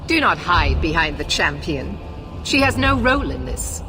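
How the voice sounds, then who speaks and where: A woman's voice: fairly low pitch, sing-song, normal speed, over music. An actress playing a stern, unyielding female knight commander in a fantasy game, imperious and formal. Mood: stern, commanding. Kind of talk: theatrical fantasy game acting.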